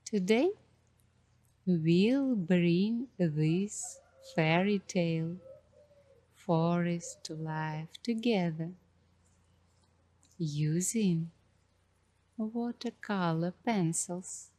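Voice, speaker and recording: Soft hushed asmr lady, kinda low voice, super dry close sound, dreamy little phrases. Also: dry recording. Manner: slow, dreamy, halting short phrases. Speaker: ASMR host. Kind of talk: ASMR guided coloring narration.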